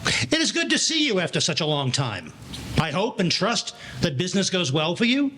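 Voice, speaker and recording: Guy doing a chatty formal old new york barkeep, highish voice, lively, radio play. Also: moderate pace, a little hiss or hum, exaggerated voice. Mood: genial. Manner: wordy, mock-formal. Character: genial 1940s Manhattan bartender, male, Runyonesque formal talker. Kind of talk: 1940s radio play acting.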